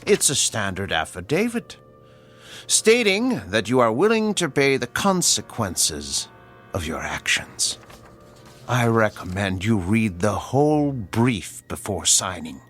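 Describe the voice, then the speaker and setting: An actor playing a mysterious male stranger who speaks in a slow, measured and formal way in a radio drama. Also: on the low side, very animated, fairly bright tone, faint music behind, full character voice. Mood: coaxing.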